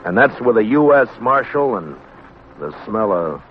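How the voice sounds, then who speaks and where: A man announcing an old-time radio drama with a booming, dramatic delivery. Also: very animated, unhurried, faint music behind. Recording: dull lo-fi vintage radio recording.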